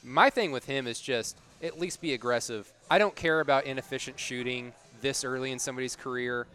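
A man giving measured, analytical commentary in a casual sports discussion as a podcast panelist. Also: mid-range voice, bright, forceful tone.

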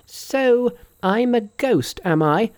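A man's voice: a little high, animated, measured pace, mellow, voice pushed up. A man voicing a brash, indignant monkey immortal from a storybook, scolding and taunting. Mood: furious.

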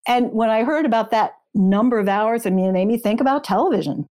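A woman's voice: on the high side, animated, quick pace, warm tone. A woman speaking as an interview guest, an author who explains her research in an informative, detailed way.

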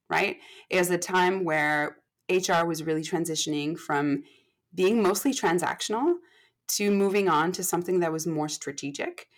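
Chatty woman, late thirties. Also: deep voice. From Canada. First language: French. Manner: chatty, self-deprecating, many fillers and restarts. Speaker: guest, HR expert. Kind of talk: casual podcast chat.

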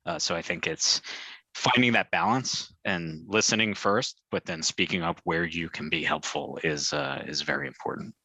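Guy with a super deep voice on a panel, forceful and tense, dry sound. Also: normal speed, no room sound, poor audio. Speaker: panel guest. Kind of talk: casual panel discussion.

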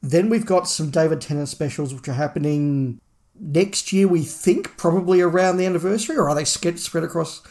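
Creaky-voiced man in rambling podcast chat. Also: on the high side, brisk, darkish tone. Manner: rambling, thinking aloud. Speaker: podcast co-host. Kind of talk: casual fan discussion.

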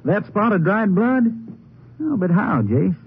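An actor playing a folksy small-town sheriff with a husky voice and an in a 1950s radio drama. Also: male voice, sits high, big pitch swings, unhurried, a little hiss or hum, heavily acted. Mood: puzzled, folksy. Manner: folksy, plain-spoken. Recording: tinny, band-limited 1950s radio recording.

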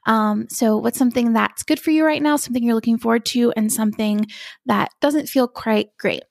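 Woman podcast host, encouraging, gravelly edge, dry close sound. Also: a little high, fairly quick, dry recording. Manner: warm, encouraging, affirming listener. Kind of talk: casual interview chat.

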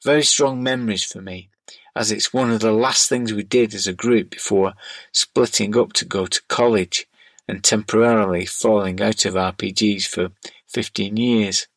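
A man's voice: on the low side, fairly even intonation, normal speed, a bit bright, dry recording. A middle-aged man reading scripted narration and listener letters aloud as a podcast host.

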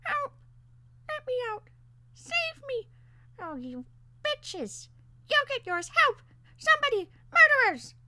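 A woman's voice: extremely high pitch, huge pitch swings, takes it slowly, long pauses, pushed and bright, a little hiss or hum, pitched above the performer's own voice, heavily acted. A woman voicing a small, vengeful male parakeet in a storybook tale, scolding and squawking melodramatically. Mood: panicked, shrieking. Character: indignant, vengeful talking male pet parakeet, small and shrill.

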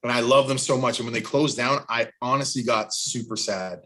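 A man's voice: medium pitch, fairly quick, bright, forceful tone, husky. A man chatting casually, telling personal anecdotes in a sentimental way. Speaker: podcast co-host. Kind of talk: casual chat.

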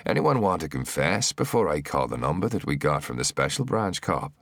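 A man's voice: a bass voice, big pitch swings, brisk, gravelly, fairly bright tone, no room sound, exaggerated voice. A man voicing a cruel, confident gunman enforcer in an audiobook thriller, taunting and commanding without hurry. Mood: gloating. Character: menacing, gloating gunman enforcer, cruel and sure.

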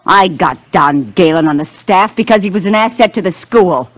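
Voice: mid-range voice, expressive, normal speed, natural voice, low-quality recording. A performer playing a brassy, defensive wife in a 1950s radio mystery, talking in slangy, indignant snaps. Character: brassy, defensive wife of a music school owner. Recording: old 1950s radio recording, faint music.

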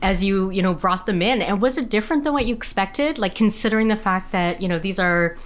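A woman in her forties hosting a podcast, chatty and expressive, sometimes laughing as she asks questions. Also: mid-range voice, fairly quick. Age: 40s. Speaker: podcast host. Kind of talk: casual podcast interview.

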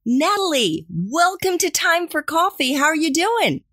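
A woman's voice: very high-pitched, sing-song, measured pace, a bit bright, no echo, studio quality. A woman hosting a podcast in a peppy, chatty, playful way, moving between scripted intro lines and interview questions. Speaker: podcast host.

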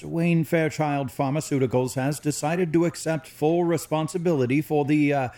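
A man's voice: mid-range voice, darkish tone. A man playing a polite, conciliatory senior lawyer in an audio drama, speaking formally and earnestly.

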